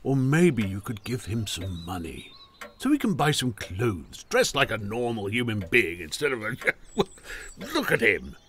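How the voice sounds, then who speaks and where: Wry, very animated older gentleman. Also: fairly low pitch, measured pace, slight background noise. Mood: gentle, candid. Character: restless ailing older country gentleman, wry and kindly. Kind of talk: audio drama acting.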